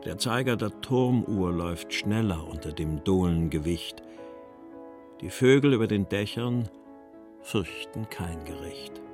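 Post-war writer, low voice, weary and wry, measured literary reading, careful diction, music behind. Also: male voice, animated, darkish tone. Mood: contemplative. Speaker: actor reading letters and poems. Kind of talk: literary reading of letters and verse for a radio feature.

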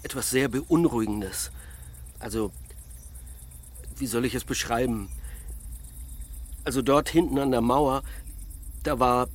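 An actor playing a curious, unsettled middle-aged German husband in naturalistic radio drama dialogue, hesitant and questioning. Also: male voice, mid-range voice, measured pace, long pauses, lightly acted. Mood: uneasy, searching.

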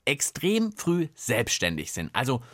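A man's voice: mid-range voice, huge pitch swings, a little slow, a bit bright, no room sound, clean studio sound. A man co-hosting a children's science podcast with chatty explanations. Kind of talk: lively explanatory chat.

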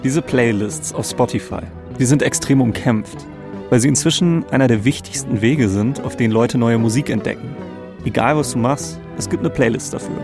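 Male podcast co-host, conversational scripted narration with clear music behind. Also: medium pitch, normal speed.

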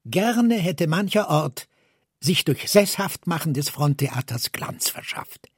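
A man reads literary prose aloud. His voice is on the high side for a man and very husky, and the sound is dry with little room in it. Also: lively intonation, slow pace, no room sound. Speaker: co-reader. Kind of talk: literary reading of prose and quotations.

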